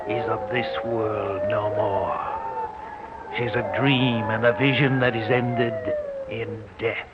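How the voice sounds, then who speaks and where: An actor playing a sinister old hermit who tells horror stories in a theatrical, ominous, drawn-out way on old radio. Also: male voice, medium pitch. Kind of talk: 1940s radio horror narration. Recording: muffled, very noisy old radio recording.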